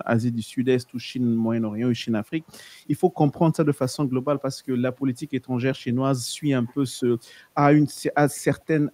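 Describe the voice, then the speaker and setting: A man speaking as a panel guest, explaining in a measured way and often stopping to restart or correct himself. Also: medium pitch, dark tone, dry recording. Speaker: guest, editor of a research outlet.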